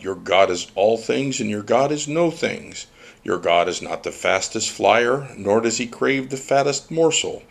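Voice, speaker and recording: A man voicing a wise divine child in a storybook, teaching solemnly in measured, preaching aphorisms. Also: lowish voice, creaky, full character voice. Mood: solemn, emphatic. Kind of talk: storybook character reading.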